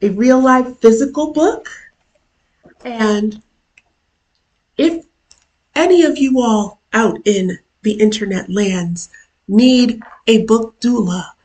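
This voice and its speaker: A woman with a hoarse, worn-out voice, casually chatting as host of her own live stream during a work lunch break, with chatty self-deprecating asides. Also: medium pitch, slow, a little mellow, low-quality recording. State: hoarse, lost voice from illness. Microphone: camera mic.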